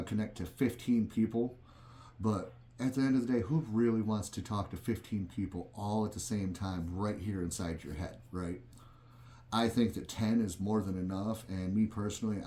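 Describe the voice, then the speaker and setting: A man's voice: lowish voice, dark tone. A man gives a casual, plain-spoken product review as a vlog host, talking conversationally in an echoing room. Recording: roomy, echoing room sound.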